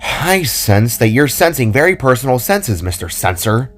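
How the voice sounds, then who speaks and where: A troubled young fantasy hero, voiced by a man, with a mid-range voice. He sounds weary, dry and a little hesitant, like a teen in an audio story. Soft music plays behind him. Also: medium pitch, sing-song, normal speed. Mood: dry retort. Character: troubled teenage boy spark, sardonic, weary.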